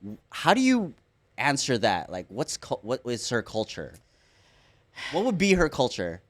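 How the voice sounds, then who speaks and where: A man hosting a casual interview podcast, talking in a slangy, expressive way with excitable reactions. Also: medium pitch, measured pace, no room sound. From Filipino background. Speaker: podcast host.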